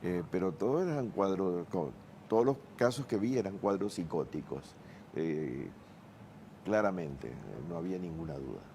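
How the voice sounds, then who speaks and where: A man with a very low, mellow voice speaks as a forensic psychiatrist on a TV panel. He is measured and factual. Faint hiss sits behind him. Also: lively intonation. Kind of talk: studio panel discussion.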